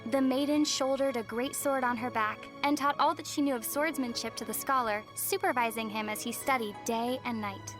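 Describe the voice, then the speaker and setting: A woman voicing a composed young female traveler in a video game, gentle, measured and thoughtful, with a wry edge. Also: a little high, over music, lightly acted, rough recording. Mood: calm storytelling. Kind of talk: video game character voice lines.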